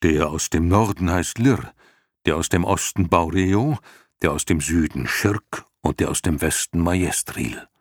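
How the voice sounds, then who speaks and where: A man's voice: low-pitched, a little slow, dry recording, clean studio sound. A man reading an audiobook, voicing a big, timid male dragon who worries and warns. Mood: worried. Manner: worried, cautionary. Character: large male luck dragon, timid and excitable. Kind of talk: audiobook character voice.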